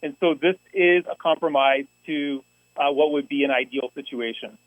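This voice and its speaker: A man's voice: a little high, normal speed, no room sound, highs cut off. A man speaking earnestly at a public school board meeting, often restarting his phrases. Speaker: union representative presenting to a school board.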